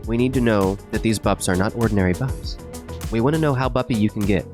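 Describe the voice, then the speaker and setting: A man giving mock-serious, measured golf-style commentary on a video game. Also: on the low side, music in the background. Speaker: streaming show co-host commentating.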